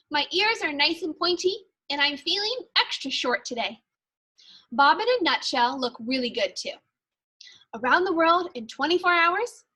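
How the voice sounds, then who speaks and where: An eager, fretful little Christmas elf from a children's storybook, read by a woman. The voice is high and bright. The delivery is chipper and confiding, with a punctual worrier's urgency. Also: exaggerated voice. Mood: proud, excited.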